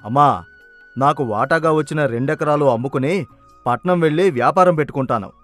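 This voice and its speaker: A man's voice: fairly high pitch, fairly quick, fairly bright tone, faint music behind, close to an everyday voice. A man playing a greedy, arrogant elder brother in a village moral story, with a brash, insistent manner.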